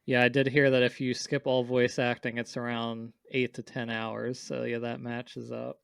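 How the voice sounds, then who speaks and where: A man's voice: medium pitch, level tone, moderate pace, gravelly, smooth, no room sound. A man chatting casually as a podcast co-host, explaining things in an informal, rambling way. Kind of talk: casual chat, discussing visual novels.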